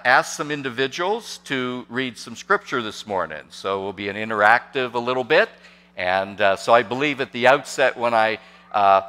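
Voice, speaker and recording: Older pastor preaching in a reverberant church. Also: male voice, mid-range voice, pushed and bright. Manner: hesitant, many fillers and restarts, asks the congregation questions. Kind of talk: sermon. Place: church sanctuary, large and indoors. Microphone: podium microphone on a gooseneck.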